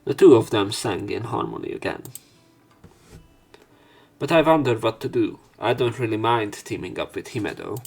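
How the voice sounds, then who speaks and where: A man's voice: lowish voice, lively intonation, normal speed, pauses often, husky. A man reading a visual novel's text aloud as a let's play narrator, putting emphasis into the spoken dialogue.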